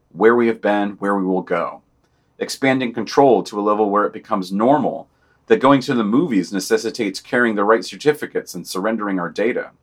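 A man's voice: on the low side, fairly bright tone. A man hosting a podcast, reading a scripted news digest and long quotations aloud. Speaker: podcast host.

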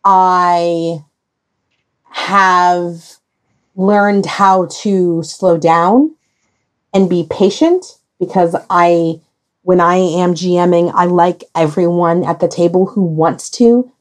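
A woman with a fairly low voice hosts a casual podcast conversation. She speaks emphatically and deliberately, leaving pauses as she shapes her phrases. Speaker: podcast discussion host.

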